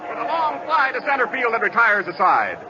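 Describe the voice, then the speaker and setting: A man's voice: very high voice, huge pitch swings, brisk, exaggerated voice. A man playing a radio baseball announcer in an old radio drama, calling the game in dramatic, punchy phrases. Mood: excited. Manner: dramatic, punchy short calls. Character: excited male radio baseball play-by-play announcer. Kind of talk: 1940s-50s radio drama sports commentary. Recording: muffled old radio recording, roaring crowd, noise. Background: loud stadium crowd.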